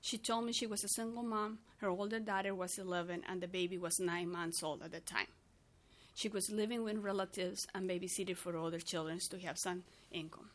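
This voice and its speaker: A woman's voice: lowish voice, fairly even intonation, a little fast. A woman from Peru, a native Spanish speaker with a Peruvian accent, giving earnest testimony to a committee as an outreach worker. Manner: earnest, non-native phrasing.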